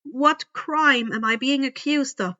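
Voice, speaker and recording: A woman's voice: high voice, slow pace, bright, forceful tone, highs cut off, exaggerated voice. A woman voicing an anxious young boy hero in a story reading, stammering and carefully polite. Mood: guarded, confused. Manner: hesitant, stammering, formally polite.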